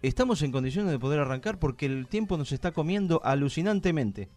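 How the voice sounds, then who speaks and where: A man's voice: on the high side, animated, fast, a little mellow, soft background music. A man with an Argentine accent hosting a casual live radio interview in a studio. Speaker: radio host.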